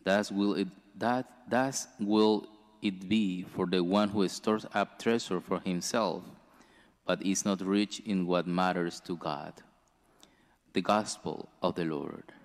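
Deep-voiced priest in a reverberant church. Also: male voice, fairly even intonation. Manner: slow, measured delivery with careful pauses. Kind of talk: gospel reading and homily. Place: church sanctuary. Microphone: stand mic at a lectern.

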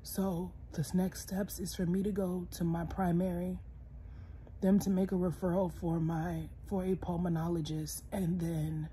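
Tired congested woman talking softly in bed. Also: on the low side, a little hiss or hum. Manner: tired, soft-spoken, sometimes dropping to a whisper. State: congested, hoarse from illness. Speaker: vlogger. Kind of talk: casual personal vlog. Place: bedroom, lying in bed indoors. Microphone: phone camera close, held low.

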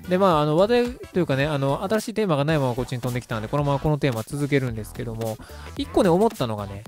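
A man's voice: on the high side, sing-song, rapid-fire, darkish tone, soft background music. A chatty man around thirty co-hosting a casual radio show, talking through his own idea at length. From Japan, Kansai region. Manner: chatty, self-described talkative. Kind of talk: casual monologue pitching an idea.

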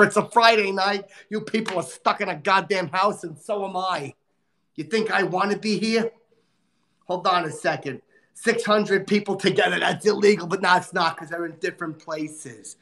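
A man playing a crude, boastful, short-tempered male comic, cursing and griping as he hosts a livestream in a nasal, gravelly put-on voice. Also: high voice, a bit bright. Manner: profane, insulting, exasperated rants. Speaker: livestream host. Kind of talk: livestream comedy character rant.

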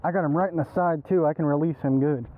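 A young American man chatting casually and excitedly in an informal, slangy way, like an angler vlogging outdoors. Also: fairly high pitch, fast talker. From East Texas, United States. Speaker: angler filming a fishing video. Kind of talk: casual outdoor vlog chat. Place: outdoors at a swampy pond bank. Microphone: body-worn action camera. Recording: muffled narrow-band action-camera audio, outdoor noise.

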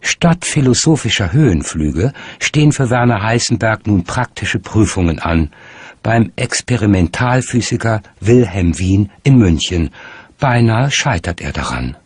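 A man reading scripted documentary narration in a measured, articulate way. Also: on the low side, very animated, husky, dry recording. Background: music.

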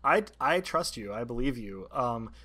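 Male game master for tabletop session, medium pitch, improvised descriptions in careful, halting phrases. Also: expressive, sharp tone.

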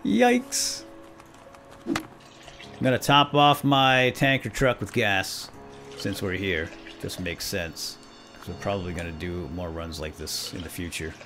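A man's voice: deep voice, expressive, a little slow, a bit bright, soft background music. A man doing casual, playful let's play commentary, talking to himself and his viewers with exclamations. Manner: playful, self-chatting, exclaiming. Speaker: host of a gaming series.

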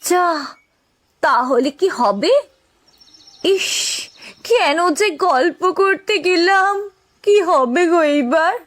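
A gossipy village housewife for a cartoon, extremely high in pitch and swooping up and down. She is naive and curious, stretching out her wide-eyed lines. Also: lively intonation, slow, pauses often, fairly bright tone, exaggerated voice. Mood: dismayed. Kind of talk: cartoon voice acting.

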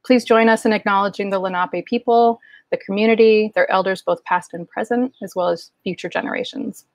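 A middle-aged woman speaks as the host of an online show. Her voice sits at a medium pitch and she presents in a casual way. The sound is dry, as on a video call from home. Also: steady tone, brisk, dry recording. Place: home room indoors, kitchen shelves behind. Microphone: video call, wearing headphones.

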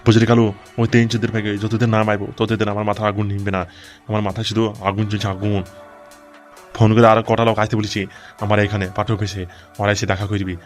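Fast-talking, hot-tempered gangster boss played by a man. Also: fairly low pitch, level tone, soft background music, close to an everyday voice. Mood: vengeful, aggrieved. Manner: coarse, swearing, blustering. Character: hot-tempered foul-mouthed adult gangster boss and harried father. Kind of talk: amateur comic cartoon dubbing.

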